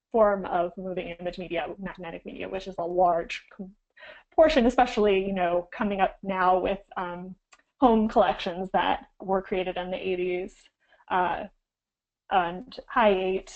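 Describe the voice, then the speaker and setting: A woman's voice: on the low side, darkish tone, muffled recording. Woman with a cold giving a webinar. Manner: frequent "um"s and self-corrections. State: getting over a cold, slightly froggy. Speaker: archivist presenting a webinar. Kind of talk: instructional webinar talk.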